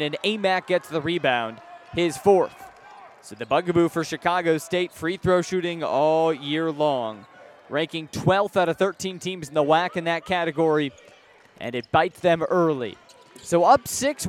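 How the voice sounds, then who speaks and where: A man's voice: a little high, a little hiss or hum. An American man doing live radio basketball play-by-play with vivid, folksy, descriptive phrasing. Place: courtside in a sports arena.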